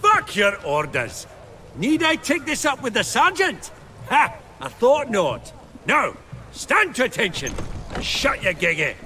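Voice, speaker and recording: An actor playing a brash, shrewd fantasy banker with a Scottish accent in a video game, theatrical and chummy. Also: male voice, very high-pitched, huge pitch swings, a little slow, pushed and bright, slight background noise, heavily acted. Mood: blustering, bossy.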